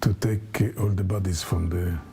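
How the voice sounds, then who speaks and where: An elderly man in his seventies tells his story in an interview, in halting, English. His voice is low and mellow, and he takes his time. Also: steady tone, creaky, breathy edge, soft background music. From Israel and France. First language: not English. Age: 70s. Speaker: interviewee recounting family history.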